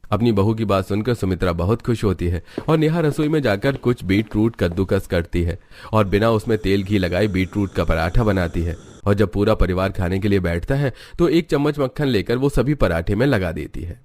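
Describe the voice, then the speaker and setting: A man's voice: on the low side, moderate pace, darkish tone. A man narrating a scripted story as a storyteller. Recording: music under the narration.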